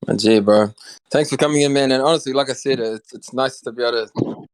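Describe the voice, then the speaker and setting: Young NZ male host, mid pitch, dry sound, friendly slangy patter. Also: a little fast, clean tone, no echo, low-quality recording. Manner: friendly, slangy, calls people bro. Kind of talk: casual hosted voice chat.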